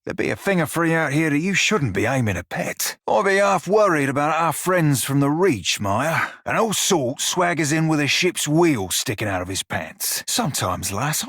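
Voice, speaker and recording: An actor playing a roguish, bawdy, furry blue pirate in a video game, drawling salty sailor slang with a teasing, wry manner and a rough-edged voice. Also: male voice, a little high, very animated, normal speed, few pauses, fairly bright tone, no room sound, studio quality. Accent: stylised pirate dialect. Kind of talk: video game character dialogue.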